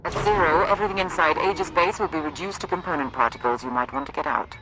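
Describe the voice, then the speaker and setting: A woman's voice: low voice, quick pace, fairly bright tone, rough recording. A woman playing a stern army major in a video game, speaking formally and matter-of-factly. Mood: stern, official. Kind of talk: scripted video game acting.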